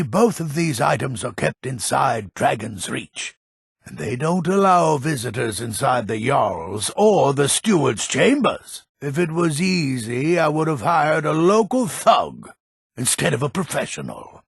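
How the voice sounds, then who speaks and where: An actor playing a grumpy, crafty old merchant in a fantasy video game, gravelly and husky, with a grumbling, theatrical delivery. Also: male voice, fairly high pitch, no echo, studio quality, heavily acted. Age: older.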